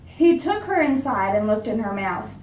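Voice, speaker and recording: A woman's voice: fairly high pitch, sing-song, moderate pace, a little mellow, a little hiss or hum. Woman teacher reading a storybook aloud. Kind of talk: reading a picture book aloud. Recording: roomy sound from a distance.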